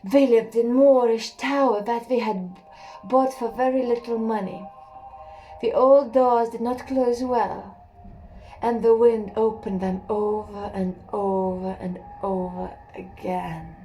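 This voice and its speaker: A young woman reads a literary story in an unhurried, hushed voice. Her tone is mellow and sits a little high. Soft music plays faintly beneath her. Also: steady tone, rough recording. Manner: slow, hushed and measured, with a few slips and laughs. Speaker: audiobook reader. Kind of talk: slow literary reading.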